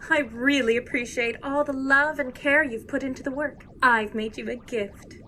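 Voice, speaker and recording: Motherly alien wife, kind but firm, high sharp-edged voice, faint noise. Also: fairly even intonation, normal speed. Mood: kindly. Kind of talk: comic audio drama acting.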